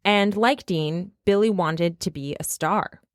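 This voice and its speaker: Low-voiced chatty woman podcaster. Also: unhurried, no room sound, studio quality. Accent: Midwestern American. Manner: chatty, laughs often, reads quotes aloud. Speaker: podcast host. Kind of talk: casual podcast storytelling.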